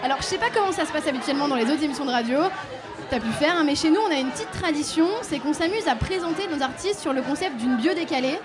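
A woman's voice: high voice, lively intonation, fast, a crowd in the background. A woman hosting a radio show, introducing her guest in a playful, teasing way. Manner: playful, teasing delivery, laughing between lines. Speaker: radio host.

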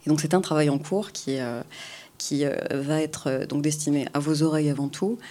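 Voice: medium pitch, fairly even intonation, normal speed, dark tone. A person presenting their sound projects as a guest on a panel, speaking off the cuff and searching for words. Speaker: radio creator, panel guest.

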